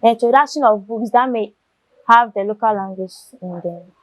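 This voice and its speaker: A young woman student, answering questions in a discussion in an earnest, measured way, pausing to find words. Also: a little high, no echo, rough recording.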